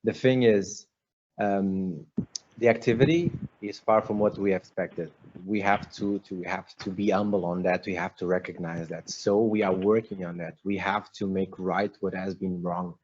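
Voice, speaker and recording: A man's voice: sits low, steady tone, moderate pace, a little mellow, a little hiss or hum. A man, an English speaker, answering questions carefully and searching for his words. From Portugal. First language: Portuguese. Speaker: team member. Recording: dull lo-fi call audio.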